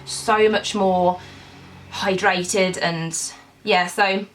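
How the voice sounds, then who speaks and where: A young woman talks through her routine in a relaxed, chatty way. She sounds congested from a cold, and her talk is full of ums and little hedges. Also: medium pitch, very slow, poor audio. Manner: chatty, with frequent ums and kind ofs. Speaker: beauty vlogger. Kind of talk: casual skincare walkthrough.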